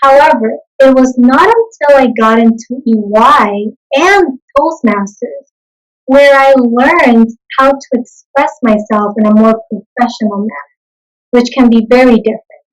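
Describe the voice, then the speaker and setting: A young woman from Bolivia, a native Spanish speaker, answering interview questions in an earnest, measured way. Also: on the high side, rough recording. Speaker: interview guest, consultant.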